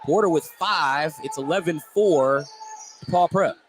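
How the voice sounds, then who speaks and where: Guy doing live hoops play-by-play, slightly high voice, super detailed, noisy gym behind him. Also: unhurried. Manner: detailed running description, frequently self-correcting. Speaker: play-by-play sports commentator. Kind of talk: live basketball radio commentary. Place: indoor basketball gym.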